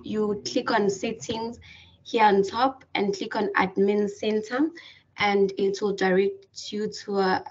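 A woman walking through a software tutorial over a screen recording, hesitant with many fillers and restarts. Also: mid-range voice. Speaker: tutorial presenter. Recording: distant, dull lo-fi mic.

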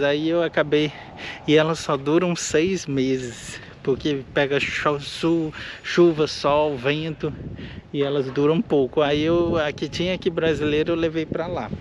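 A man's voice: fairly high pitch, noisy background. A man from Minas Gerais, hosting his own vlog in an airfield hangar, chatting casually with many restarts and fillers. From Minas Gerais, Brazil. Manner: rambling, with frequent restarts and fillers. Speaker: channel host, eye doctor and pilot. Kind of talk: casual walk-and-talk vlog.

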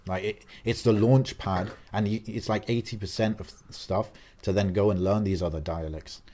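A man's voice: fairly low pitch, fairly even intonation, normal speed, mellow. A young man of Algerian background co-hosting a podcast, talking casually with lots of fillers and restarts. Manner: talkative, many fillers and restarts. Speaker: podcast co-host.

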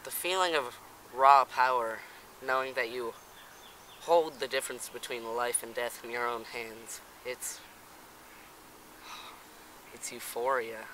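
A young man in his late teens playing a deadpan, flippant hiker in an amateur comedy sketch. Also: medium pitch, slow pace, pauses often. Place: outdoors on a woodland trail. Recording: outdoors with faint ambient noise.

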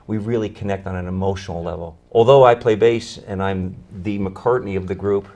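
Low-register middle-aged male musician, conversational interview guest, small studio. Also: fairly even intonation, brisk, a little mellow. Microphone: lapel mic.

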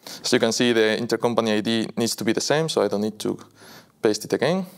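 Young man demonstrating software. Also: lowish voice, quick pace. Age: young adult. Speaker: software product presenter. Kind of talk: live software demo walkthrough. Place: indoor video studio.

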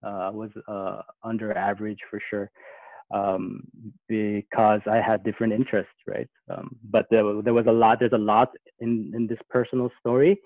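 A man's voice: on the low side, level tone, normal speed, dry recording. A man born in Austria, giving a casual talk on a video call, hesitant and full of fillers and restarts. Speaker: guest speaker. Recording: muffled dry video-call audio.

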